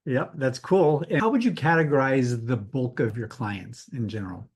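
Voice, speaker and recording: A man hosting a casual interview with his fitness coach, talking in a relaxed, slightly halting way. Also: mid-range voice, slightly rough. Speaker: interview host and client.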